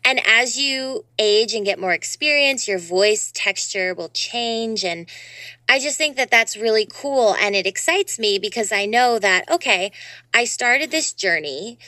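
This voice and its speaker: A woman's voice: fairly high pitch, expressive, a little slow, bright, forceful tone, no room sound. A young woman in her twenties with a youthful voice, chatting freely as an interview guest. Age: 20s. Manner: youthful, upbeat, talks freely with many "you know"s. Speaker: guest, dancer and voice actor. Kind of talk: casual interview chat.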